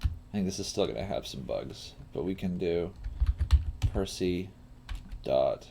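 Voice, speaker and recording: A man with a fairly low voice walks through a live coding lesson. He thinks aloud as he works, often pausing partway through a thought. There is noise behind him, with the sound of computer keyboard typing. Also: expressive, creaky. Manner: halting, pausing mid-thought while working. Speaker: coding teacher.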